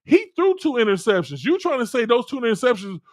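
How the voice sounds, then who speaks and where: A man with a high voice argues football on a sports talk show, fired up and defensive. He stammers and repeats himself when pressed. His voice is close and dry, with no room around it. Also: very animated, fast talker, no room sound. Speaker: co-host. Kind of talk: heated sports debate.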